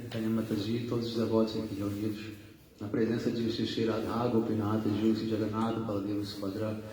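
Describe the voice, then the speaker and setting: A man's voice: lowish voice, flat delivery, fairly quick, mellow. A man introducing guest speakers at a religious gathering, rambling and correcting himself, with many fillers. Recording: echoey hall, distant mic, faint hum.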